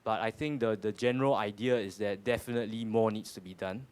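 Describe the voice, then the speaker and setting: A Singaporean man in his twenties answers questions earnestly, often stumbling and repeating words. His voice sits a little low for a man. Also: steady tone, brisk, darkish tone, clear, resonant tone. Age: 20s. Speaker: panel speaker. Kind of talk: panel Q&A answers.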